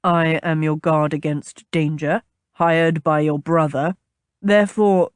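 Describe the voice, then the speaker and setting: Woman putting on a low, gruff, pompous captain. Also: level tone, unhurried, no echo, clean studio sound. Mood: exasperated, stiff. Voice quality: low, growly. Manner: clipped, exasperated, formal. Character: gruff, pompous man, a stiff captain and bodyguard.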